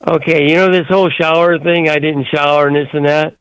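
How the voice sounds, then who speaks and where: A man calling in to a talk radio show, telling a long rambling story in a gruff way. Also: on the high side, fairly even intonation, brisk, a bit bright, dry recording, poor audio. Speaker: caller.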